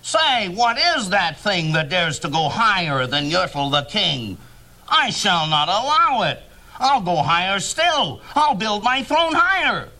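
A man's voice: high-pitched, sing-song, measured pace, sharp tone, heavily acted. A man voicing a pompous, boastful turtle king who bellows orders in a storybook reading. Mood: blustering commands.

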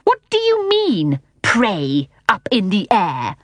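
Storybook nanny, played by a woman: touchy, haughty, sharp-tongued, pitched high, very dry sound. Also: sing-song, slow, dry recording, heavily acted. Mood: offended, indignant. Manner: sharp, indignant. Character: prim, easily offended, haughty nanny woman.

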